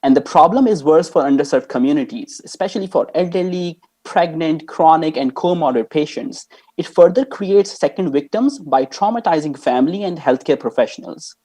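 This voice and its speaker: Earnest man from Pakistan giving a pitch. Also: animated, moderate pace, mellow, slightly rough. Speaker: startup founder pitching. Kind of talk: rehearsed pitch presentation.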